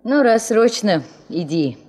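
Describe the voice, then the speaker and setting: An actress playing a calm, gently teasing female secretary with a soothing manner, in a 1970s radio drama. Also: medium pitch, slow pace, slightly rough.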